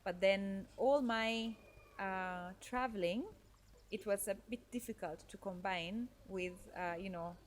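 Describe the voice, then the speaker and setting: A woman's voice: medium pitch, slow, a little hiss or hum. A woman from Norway, a podcast guest telling her life story in a thoughtful, conversational way, with frequent fillers and self-corrections.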